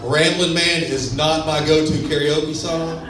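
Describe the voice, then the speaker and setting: A man's voice: on the high side, steady tone, a little slow, background noise. A man from Texas with a West Texas accent, doing a self-deprecating, laid-back stand-up comedy set on a club stage. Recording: echoey club sound from far off with a crowd.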